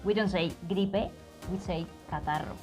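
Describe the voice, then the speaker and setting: A woman from Cuba, a native Spanish speaker with a Cuban accent, hosting an upbeat slang lesson and repeating phrases slowly and clearly. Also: on the low side, a little mellow, soft background music. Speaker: language teacher, channel host.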